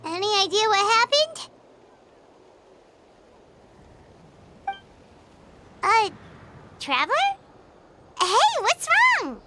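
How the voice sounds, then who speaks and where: A woman voicing a tiny, excitable childlike fairy companion in a video game, squeaky and bubbly, with exclamatory delivery. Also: a little slow, heavy background noise, exaggerated voice. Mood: confused. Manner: bubbly, exclamatory, speaks of self in third person.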